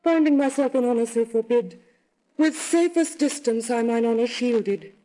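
An actress playing a sorrowful jilted young maiden, reciting classical verse in a measured, lyrical manner. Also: female voice, a little high, dark tone, natural voice, low-quality recording. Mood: mournful, regretful. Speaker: actor reading a narrative poem.

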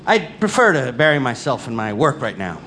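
An actor playing a grief-maddened scientist father in an audio drama, intense and theatrical, with halting repetitions. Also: medium pitch, very animated, sharp tone, a little hiss or hum. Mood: bitter, numb. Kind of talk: live audio drama acting with monologue narration. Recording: live stage recording with music underneath.

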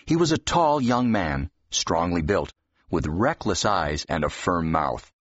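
Deep, husky male audiobook narrator. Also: sing-song, measured pace, no echo. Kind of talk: expressive scripted fiction narration. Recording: dull, slightly muffled audio.

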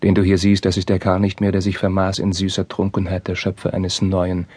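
An actor playing a young, bitter and passionate Spanish crown prince in a classical stage drama, with declamatory, intense delivery. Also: male voice, low voice, completely flat delivery, fairly quick, a little mellow, husky, no room sound. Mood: heavy sorrow. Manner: declamatory, emotionally intense, formal verse delivery.

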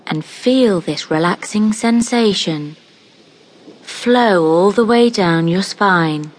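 A woman narrating a scripted guided meditation in a calm, soothing, unhurried manner, leaving long pauses between phrases. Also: medium pitch.

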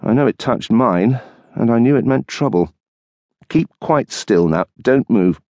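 A man's voice: medium pitch, animated, a little slow, darkish tone, no room sound, voice pushed up, natural voice. A man voicing a playful, joking husband in light, teasing banter.

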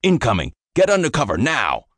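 A man voicing a gruff, foul-mouthed veteran army sergeant who drawls in slang. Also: deep voice, big pitch swings, moderate pace, no echo, clean studio sound, pitched above the performer's own voice. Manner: crude, swearing, drawling slang.

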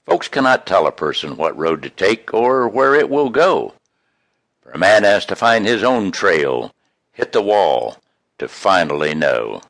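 A man reading his own poems in an expressive, folksy, homespun way, with casual introductions between them. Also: low voice, unhurried, dry recording. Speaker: poet reading own work.

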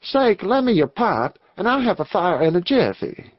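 A man's voice: high voice, very animated, normal speed, no echo, dull audio, pitched above the performer's own voice. A man voicing an easygoing male outlaw with a folksy drawl in a Western storybook. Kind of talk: Western novel dialogue.

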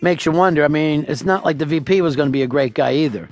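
Radio host guy, slightly high voice, dry deadpan jokes, swears a lot, faint music behind. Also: fast talker. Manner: deadpan, profane, spins absurd tall tales. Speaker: radio talk show host. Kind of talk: casual radio chat taking callers.

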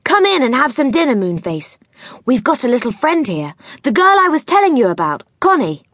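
Woman as a lively, spirited country boy. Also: high-pitched, brisk, no room sound, studio quality, voice pushed up, full character voice. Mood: cheerful. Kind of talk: children's audiobook character voice.

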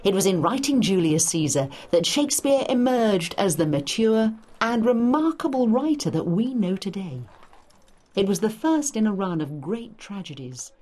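A woman's voice: mid-range voice, sing-song, normal speed, warm tone. A woman giving a scripted educational narration for a study guide, in an emphatic storytelling manner.

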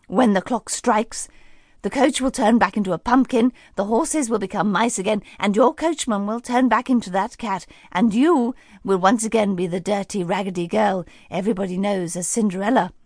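A woman's voice: mid-range voice, moderate pace, a little mellow, no room sound, studio quality, close to an everyday voice. A woman voicing a kindly, bustling old fairy godmother who talks in a cosy, motherly way. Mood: serious.

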